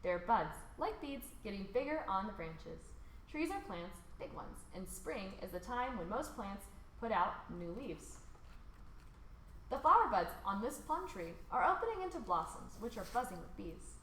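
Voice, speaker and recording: Female, American, children's read-along host. Lively pitch movement, informal delivery, recorded in a roomy echo. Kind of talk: picture book read aloud for children. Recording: roomy echo, faint background noise.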